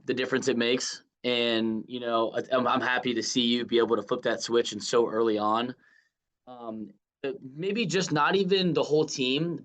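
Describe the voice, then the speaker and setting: A man's voice: medium pitch, a bit bright. A man with a middle-range voice interviews as a sports reporter. His questions are chatty and informal, wandering a little before they land. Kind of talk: casual, conversational interview questions.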